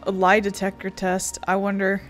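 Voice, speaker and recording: A woman's voice: mid-range voice, monotone, normal speed, fairly bright tone. Woman's casual gameplay commentary, faint music. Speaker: let's player. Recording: faint game music behind.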